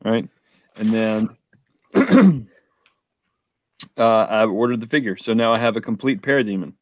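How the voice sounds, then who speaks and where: A man in his forties chatting casually and haltingly about collecting, laid-back, close to a desk microphone. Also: on the low side, animated, no echo. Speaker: toy collector on a panel show. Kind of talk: casual chat. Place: small room full of shelves and boxes, indoors. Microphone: close desk microphone on video call.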